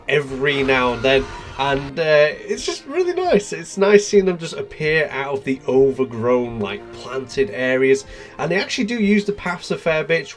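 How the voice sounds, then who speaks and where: A man with a cold, sounding bunged up as he gives a chatty, easygoing voiceover for a park-building game video. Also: on the high side. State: congested from a cold. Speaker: gaming video creator.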